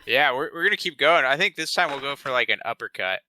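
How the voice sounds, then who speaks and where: A man's voice: medium pitch, animated, quick pace, pushed and bright. A man playing in a tabletop game, chatting casually, hesitant and repeating his words. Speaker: player.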